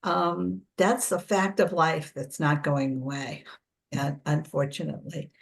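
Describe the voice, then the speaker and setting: A woman's voice: sits low, steady tone, a little slow, gravelly. An older woman with an American accent, explaining things conversationally as a preparedness coach in an informal workshop and often correcting herself. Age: 60s or older.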